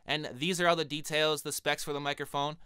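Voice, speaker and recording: Young male home-studio vlogger with a cold, higher-pitched, very clear voice, dry close condenser sound, chatty and relaxed. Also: a little fast, no echo. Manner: relaxed, chatty, addresses viewers directly. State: has a cold. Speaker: music producer reviewing a microphone. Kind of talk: casual product review vlog. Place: small home studio with acoustic foam. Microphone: studio condenser mic on stand in front of him.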